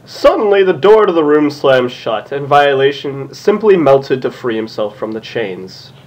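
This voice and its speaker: A man's voice: mid-range voice, very animated, unhurried, darkish tone, highs cut off. A man casually reading a story aloud for comedy, often breaking into giggles as he goes. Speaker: co-reader of a fan fiction reading show.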